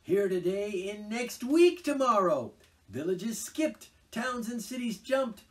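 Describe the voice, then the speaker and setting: A boastful, dream-struck toad gushes breathlessly about his new obsession, as a man puts him on. The voice leaps high and swoops wildly from word to word. It is a storybook reading, caught a little distant from the microphone. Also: very animated, slow, full character voice. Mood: rapturous.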